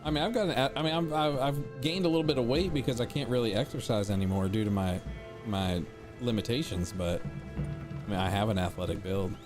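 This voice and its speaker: A man's voice: medium pitch, brisk, over music. Rambling forty-year-old male streamer. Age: 40s. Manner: rambling, repeats himself, chats with viewers. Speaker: game streamer. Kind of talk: casual live-stream chat over gameplay.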